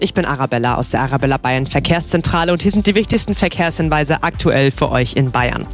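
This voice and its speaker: A woman presenting radio traffic news, addressing drivers informally in a scripted report. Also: lowish voice.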